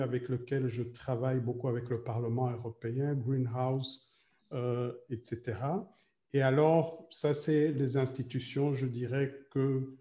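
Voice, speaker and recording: A man's voice: medium pitch, dark tone, reverberant. Hesitant fifty-year-old man leading a workshop. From Rwanda. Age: 50s. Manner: hesitant, many fillers, addresses participants directly. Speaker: trainer and coach. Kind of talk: online workshop presentation. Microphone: video call.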